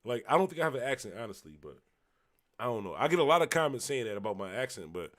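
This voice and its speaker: A man's voice: medium pitch, sing-song, quick pace. Tipsy, rambling man with a Buffalo accent. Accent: Western New York. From Buffalo, New York. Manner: loose and rambling, trailing off, shouting out viewers. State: a little tipsy. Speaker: livestream host. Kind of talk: casual livestream chat reading comments.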